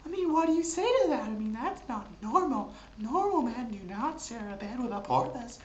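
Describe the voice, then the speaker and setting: A man voicing a crazed middle-aged Californian woman in squeaky falsetto, as an exaggerated comic monologue. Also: expressive, normal speed, darkish tone, highs cut off. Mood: frantic, scandalized. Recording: roomy, noisy home recording.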